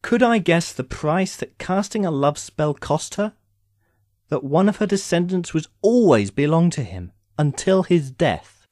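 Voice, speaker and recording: A man's voice: fairly high pitch, expressive, unhurried, darkish tone, no room sound. A man playing an urbane immortal sorcerer in an audio drama, speaking formally with dry, sardonic impatience. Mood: wry, ominous. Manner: wry, formal, theatrical phrasing.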